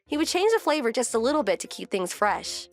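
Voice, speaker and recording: A woman's voice: a little high, very animated, fairly quick, soft background music. A woman playing a composed, wry wife who narrates her domestic story in a scripted manga dub. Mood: calm.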